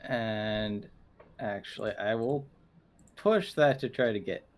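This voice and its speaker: A man's voice: on the low side, takes it slowly, slight background noise. A man talking casually as a player in a tabletop role-playing game.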